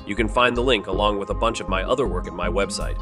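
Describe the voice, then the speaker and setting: A man with a low voice narrates an audio drama in a scripted storytelling style. Music plays clearly underneath him. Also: fast talker.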